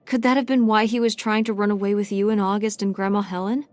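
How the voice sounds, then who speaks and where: An actress voicing a curious, eager teenage daughter on a road trip in a video game, talking in quick, insistent bursts of questions. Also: female voice, mid-range voice, flat delivery, darkish tone, soft background music, close to an everyday voice. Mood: excited.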